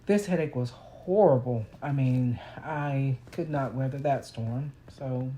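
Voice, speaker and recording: A woman with a naturally deep voice, hoarse while ill, telling a casual, rambling personal story and correcting herself as she goes. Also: slow pace, mellow, rough recording. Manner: rambling, self-correcting, conversational. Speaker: vlogger.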